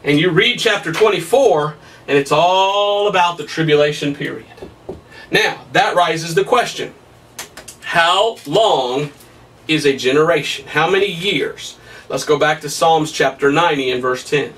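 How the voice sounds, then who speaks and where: A man in his thirties preaching a Bible study at a whiteboard, emphatic and excitable, his voice somewhat hoarse. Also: on the high side, a little slow, recorded from a distance. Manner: emphatic, rising to excited peaks, laughs at his own points. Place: small room in front of a whiteboard. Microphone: camera at a distance.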